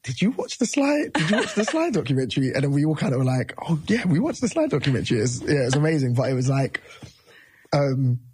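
A man's voice: fairly high pitch, very animated, quick pace, background noise. A man in his thirties, a musician guest, talking casually in an interview, loose and rambling with many restarts.

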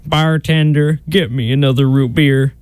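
A man's voice: a little high, fairly even intonation, measured pace, darkish tone, smooth, no echo, close to an everyday voice. An actor playing a lovesick, folksy Southern man in a hammy comic radio play. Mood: plaintive, folksy.

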